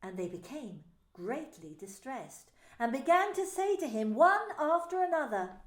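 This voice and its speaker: Expressive woman narrating a Bible story. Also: high-pitched, unhurried. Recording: echoey room with faint music.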